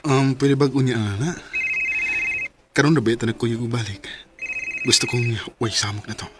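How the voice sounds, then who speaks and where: Young Filipino man, Cebuano accent, actor as apologetic boyfriend; radio drama, average pitch, coaxing delivery. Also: moderate pace, very noisy, lightly acted.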